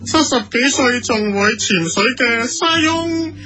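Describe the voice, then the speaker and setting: A man with a Hong Kong accent playing a proud, slow-witted TV host in his seventies in a comedy radio skit. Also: extremely high pitch, expressive, pushed and bright, exaggerated voice. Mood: proud, cheerful. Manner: self-satisfied, slow-witted. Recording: music playing behind.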